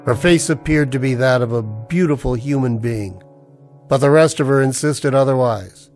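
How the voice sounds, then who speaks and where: Guy acting jaded hard-boiled man telling a noir radio play, middling pitch, music behind. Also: normal speed, darkish tone, natural voice. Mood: brooding. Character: weary, cynical, down-on-his-luck man narrating a noir tale. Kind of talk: scripted noir narration in the manner of old radio plays.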